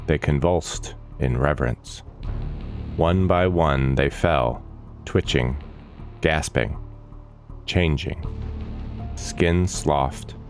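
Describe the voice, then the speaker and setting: A man narrating a scripted dark fantasy story in a measured, solemn and dramatic manner. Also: very deep voice. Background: background music.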